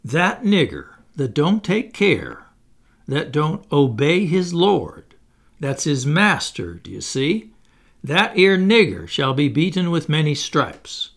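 A blustering old plantation master from an audiobook, bullying and sarcastic, as a male narrator puts him on. His voice is gravelly and pushed up higher and louder than the narration, dragging out words to mock and sermonize. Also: sing-song, takes it slowly, exaggerated voice. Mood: preaching. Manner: mocking, bullying and preachy, with drawled words.

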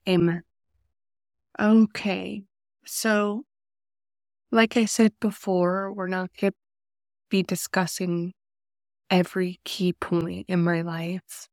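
A woman's voice: fairly low pitch, dry recording. A young woman hosting a faith podcast, sounding nasal and congested from a cold, talking earnestly into a close podcast mic. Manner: soft, unhurried, earnest. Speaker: podcast host. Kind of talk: faith podcast talk and prayer.

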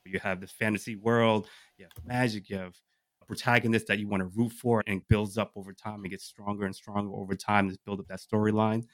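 A man's voice: fairly low pitch, level tone, fairly quick, dry recording. Man chatting casually in a dry, close recording. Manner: enthusiastic, uses rhetorical questions. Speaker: podcast co-host. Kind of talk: casual chat, pitching a list of picks to the group.

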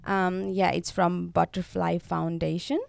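A woman's voice: on the low side, flat delivery, measured pace, gravelly. A young woman from Nepal co-hosting a casual podcast, chatty and full of fillers and restarts. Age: young adult. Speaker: podcast co-host. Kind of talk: casual chat.

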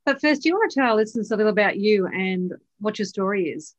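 A woman's voice: medium pitch, animated, fast talker, dry recording. A woman hosting a podcast, asking encouraging questions and often ending with tag questions. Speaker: podcast host. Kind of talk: scripted intro then casual interview.

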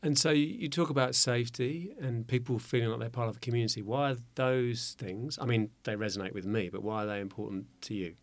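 A man interviewing a guest, asking questions in a gentle, encouraging way. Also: mid-range voice, steady tone, fairly quick, hardly any pauses, dry recording.

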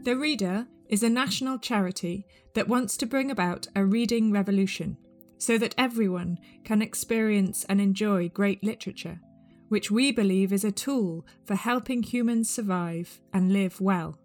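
Woman doing a scripted podcast intro, medium pitch, guitar music playing under her. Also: moderate pace, a little mellow. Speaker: podcast host. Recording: music behind the voice. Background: plucked guitar music.

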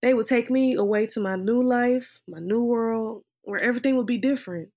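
A woman's voice: on the high side, moderate pace, mellow. Wistful woman dreamer, read by a woman. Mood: hopeful. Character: wistful woman dreamer recalling lost hopes of stardom. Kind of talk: dramatic film monologue reading.

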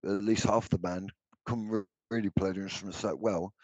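An older man with a deep baritone voice, answering interview questions about his songs, hesitant with many restarts. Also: fairly even intonation, normal speed, a little mellow, no echo. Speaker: musician guest.

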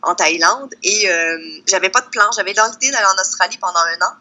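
A woman's voice: medium pitch, a little fast, pushed and bright. A woman with a Québécois accent from the Lac-Saint-Jean area, chatting informally and animatedly as she tells stories as an interview guest. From Quebec, Canada. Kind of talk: casual conversational storytelling.

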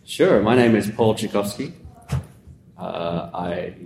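Deep-voiced Australian man, echoey room. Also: takes it slowly, creaky. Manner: relaxed, rambling explainer with many fillers. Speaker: guest. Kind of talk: casual conversational interview. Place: conference venue. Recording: echoey room with faint rumble.

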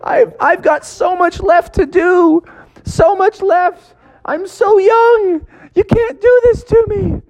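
A storyteller voicing an eager, clumsy young male frog who pleads in an excitable, whiny way. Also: extremely high pitch, takes it slowly, voice pushed up, heavily acted, low-quality recording. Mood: pleading.

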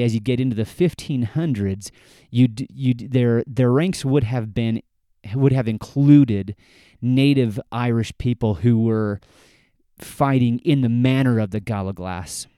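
A man hosting a history podcast, talking at a measured pace and explaining things step by step, with frequent restarts. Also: medium pitch, dark tone, clean tone, no echo, clean studio sound. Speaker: podcast host. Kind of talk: solo history podcast talk.

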